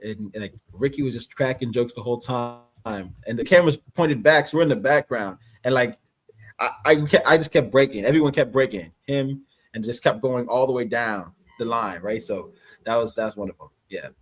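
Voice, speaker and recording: Man, Canadian accent, husky voice, medium pitch, relaxed rambling livestream guest. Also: normal speed. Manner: relaxed, rambling, laughs often. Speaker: guest actor. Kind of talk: casual livestream chat.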